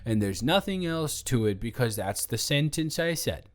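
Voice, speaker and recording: A man's voice: medium pitch, lively intonation, normal speed, close to an everyday voice. A man playing a drunk young roommate secretly in love, rambling and stammering defensively in an improvised roleplay audio drama. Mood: exasperated, defensive.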